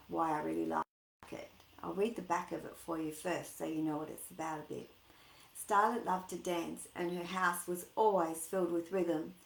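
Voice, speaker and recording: A woman reading a picture book aloud to children, chatting informally and putting expressive, singsong emphasis on the words. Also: lowish voice, gravelly. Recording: echoey room.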